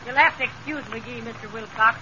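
An actress playing a sensible, scolding wife in a 1930s radio comedy. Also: female voice, a little high, brisk, sharp tone. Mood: dry scolding. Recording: muffled, very noisy old radio broadcast.

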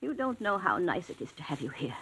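An actress playing a frail, trusting elderly invalid woman in a 1940s radio drama, with a breathy, quavering voice. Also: lowish voice, sing-song, a little fast, a bit bright, a little hiss or hum. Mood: chatty. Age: older. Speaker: invalid widow in a cottage.

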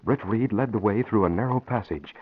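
A muffled vintage radio recording with faint noise features a man narrating. His voice sits fairly low, and he tells the story in a dramatic, scripted 1940s serial style. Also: brisk.

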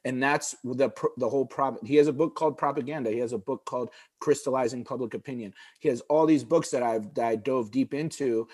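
A man's voice: mid-range voice, a little fast, hardly any pauses, a little mellow, slightly rough, dry recording. A man in his forties, born in Egypt and raised speaking Arabic first, talks earnestly as a podcast guest. He often breaks off and restarts his sentences while explaining his views. His voice is recorded dry and close, with no room sound. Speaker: guest, juice bar founder. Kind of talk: podcast conversation.

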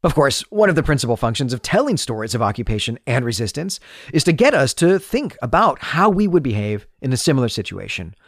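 A man's voice: mid-range voice, very animated, quick pace, dry recording, studio quality. A man hosting a podcast, delivering an analytical, explanatory monologue in the style of literary commentary. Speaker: podcast host.

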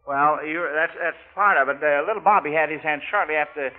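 High-voiced American male quiz host, chatty and encouraging, 1940s radio style, narrow vintage broadcast sound. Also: brisk. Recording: old narrow-band radio recording.

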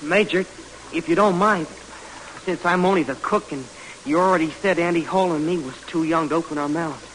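Actor as timid young cook, high-voiced. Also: male voice, fairly bright tone, natural voice, low-quality recording. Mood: nervous, deferential. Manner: hesitant, halting. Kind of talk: 1940s radio acting. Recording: faintly hissy old radio broadcast.

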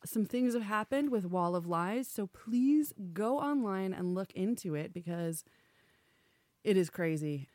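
A woman's voice: mid-range voice, animated, unhurried, darkish tone, dry recording. A woman in her twenties hosting a casual radio talk show and reading out plugs. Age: 20s. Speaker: podcast host. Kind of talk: casual chat and read-out plugs.

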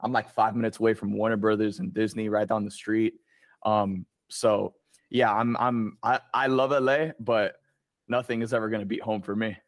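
A man's voice: fairly low pitch, steady tone, normal speed. A young man from Miami, a guest on a movie podcast, talking eagerly and casually with lots of filler. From Miami, Florida. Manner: enthusiastic, heavy use of "like".

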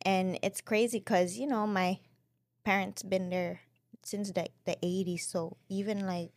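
A woman in her twenties with a mixed Filipino and Pacific islander accent, telling her story as a podcast guest in a lively, chatty way. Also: medium pitch, measured pace, no room sound, clean studio sound. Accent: Pacific islander and Filipino mix. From Saipan and Guam. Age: 20s. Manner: chatty, animated storytelling with acted-out quotes. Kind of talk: casual interview chat.

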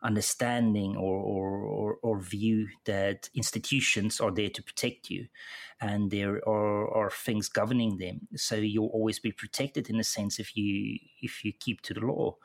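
A middle-aged man with a South African accent, telling his own story in an interview, halting and often repeating words as he restarts. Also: fairly low pitch, steady tone, vocal fry. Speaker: interview guest. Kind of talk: personal account in conversation.

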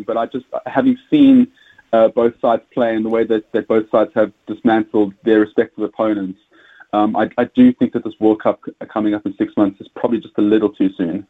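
A man with a South African accent, a rugby pundit talking casually in an interview, stumbling over repeated words and fillers. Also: medium pitch. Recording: tinny phone line.

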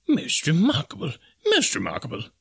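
A man's voice: on the high side, wildly swooping pitch, normal speed, gravelly, a bit bright, husky, voice pushed up, full character voice. A man voicing a pompous, absent-minded old professor in an audiobook story, fussy and pedantic, repeating himself. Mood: amazed muttering. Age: older.

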